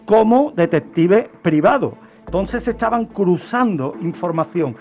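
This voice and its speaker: Spanish guy with a high voice explaining true crime on radio, rhetorical questions, music under him. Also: animated, measured pace, a little mellow. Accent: Spain. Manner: didactic, punctuated with rhetorical questions.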